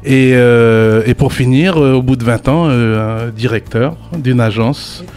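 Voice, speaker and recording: A man, a radio guest talking about his career with relaxed hesitation. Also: medium pitch, slow, faint music behind. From New Caledonia. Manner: relaxed, hesitant, many fillers. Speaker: guest, tourism agency director. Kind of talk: radio interview.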